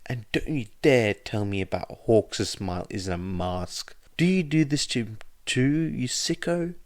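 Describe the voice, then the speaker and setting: A man voicing an anguished, furious teenage boy hero student who shouts bitter, sarcastic lines. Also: mid-range voice, expressive, measured pace, no echo, voice pushed up, natural voice.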